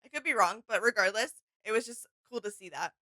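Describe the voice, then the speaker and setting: A woman with a bright, somewhat high voice chats casually as a reaction co-host. Her manner is agreeable and supportive. The recording is dry and free of room echo. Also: lively intonation, brisk. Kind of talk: casual chat reacting to game voice lines.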